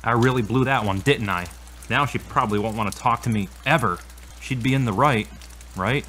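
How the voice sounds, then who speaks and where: A man's voice: medium pitch, brisk, a bit bright, lightly acted. A man playing a troubled, self-blaming young man in an audio drama, speaking hesitantly and remorsefully. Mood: regretful.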